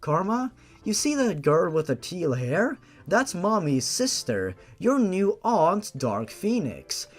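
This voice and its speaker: A man voicing a cheerful, affectionate young mother with a soft, girlish manner in a story. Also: sits high, very animated, slow, soft background music, exaggerated voice. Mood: motherly, cheerful.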